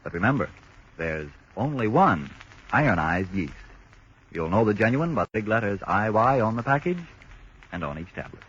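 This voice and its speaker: Male radio announcer, lowish voice, lively intonation, persuasive, muffled 1940s sound. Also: moderate pace. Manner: polished, persuasive sales delivery. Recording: muffled 1940s radio broadcast, faint hiss.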